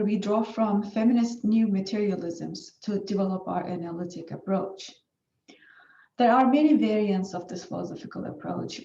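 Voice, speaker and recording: A woman's voice: medium pitch, mellow, slight background noise. A woman whose first language is Turkish, giving a formal academic research presentation with frequent fillers and restarts. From Turkey. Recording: far-off dull echoey room recording.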